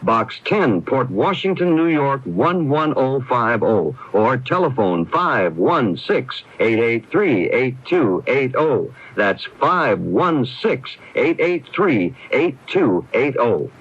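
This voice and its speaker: A man delivers a scripted public service spot. His medium-pitched voice is lively in intonation and a bit rough. The sound is a dull old radio broadcast. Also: slow pace, few pauses. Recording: muffled AM radio recording.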